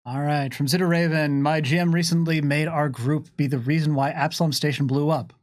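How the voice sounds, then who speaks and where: A man's voice: a little high, steady tone, brisk, a little mellow. A man chatting casually on a livestream Q&A, reading out questions and answering them in a hesitant, thinking-aloud way. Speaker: game lore panelist reading viewer questions.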